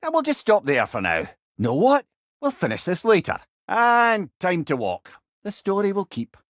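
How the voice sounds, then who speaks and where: A man's voice: high voice, huge pitch swings, normal speed, a bit bright, no echo, studio quality, full character voice. An actor playing a wise, witty old Scottish man, an avuncular storytelling companion in a video game, speaking in an articulate, wry way. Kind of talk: scripted video game voice lines.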